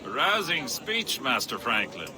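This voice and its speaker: An actor playing a formal, sceptical male colonial gentleman in a video game cutscene, courtly in manner. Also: mid-range voice, big pitch swings, a little slow. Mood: polite, sceptical.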